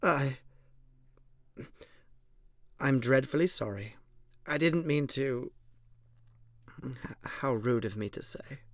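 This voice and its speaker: A man's voice: mid-range voice, takes it slowly, long pauses, no echo, clean studio sound, close to an everyday voice. A man playing a fussy, genteel, timid plant creature in a fantasy audio drama, prim and easily flustered. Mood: sheepish. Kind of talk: scripted audio drama acting.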